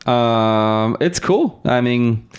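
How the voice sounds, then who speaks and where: A man's voice: medium pitch, animated, extremely slow, clear, resonant tone. A man whose first language is Russian, chatting informally as a podcast guest, telling stories and often chuckling as he talks. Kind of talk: casual storytelling in conversation.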